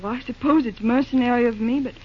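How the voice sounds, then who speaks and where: A woman's voice: fairly high pitch, fast, husky. A woman playing an obliging office worker in an old-time radio drama. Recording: dull, lo-fi old radio recording.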